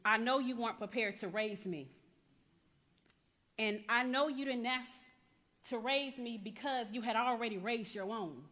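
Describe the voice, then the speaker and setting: A woman's voice: fairly high pitch, measured pace, a bit bright, pitched above the performer's own voice. A woman performing a dramatic church monologue as a rebellious young niece confessing to her aunt, in an emphatic, repetitive storytelling cadence. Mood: defiant, confessing. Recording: echoey hall with long reverb.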